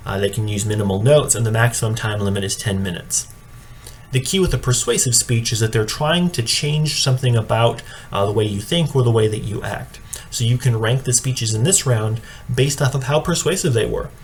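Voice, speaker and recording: A man giving an instructional presentation like a coach, explaining in a measured, matter-of-fact way. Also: mid-range voice. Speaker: speech and debate coach training judges. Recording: clearly audible background noise.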